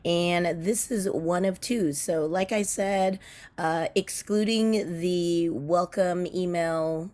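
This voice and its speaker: Slightly raspy middle-aged woman. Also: lowish voice, darkish tone. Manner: chatty, with many fillers and restarts. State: slightly raspy voice from cheering at kids' sports. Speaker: teacher and parent sharing tips. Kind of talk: casual talk to camera.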